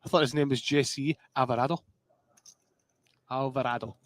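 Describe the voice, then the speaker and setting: A man's voice: medium pitch, steady tone, moderate pace, no echo. A man with a Scottish accent commentating live poker, chatty and joking. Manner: chatty and joking, sings snatches of song.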